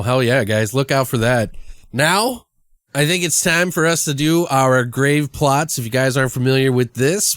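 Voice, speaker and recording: A man's voice: mid-range voice, dry recording. A man hosting a horror podcast, chatting casually, joking and prompting his guest. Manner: friendly, jokey, prompts the guest. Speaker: podcast host.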